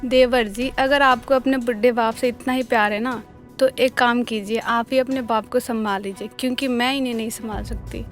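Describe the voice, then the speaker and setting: A woman voicing an insolent, sharp-tongued daughter-in-law in a melodramatic cartoon, rude and scornful. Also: a little high, steady tone, moderate pace, faint music behind, close to an everyday voice.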